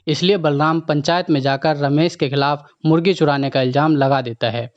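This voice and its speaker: A man's voice: on the high side, steady tone, normal speed, highs cut off. A man reading scripted storybook narration as the narrator of a tale.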